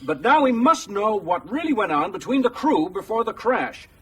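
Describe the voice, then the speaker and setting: A man's voice: high-pitched, big pitch swings, moderate pace, a bit bright, slightly rough, far from the mic, exaggerated voice. A man acting in a radio drama as a pushy American current affairs presenter, formal and insistent on air.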